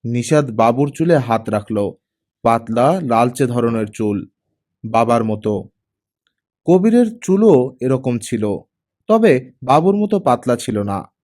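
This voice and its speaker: A man's voice: medium pitch, sing-song, takes it slowly, pauses often, a little mellow. A man reading a scripted story as an audiobook narrator.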